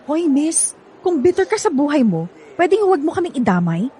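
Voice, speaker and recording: A woman's voice: high-pitched, very animated, moderate pace, warm tone, slight background noise. A woman with a Filipino accent playing a defiant young student in a radio drama. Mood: defiant, annoyed. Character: defiant young female student standing up for herself.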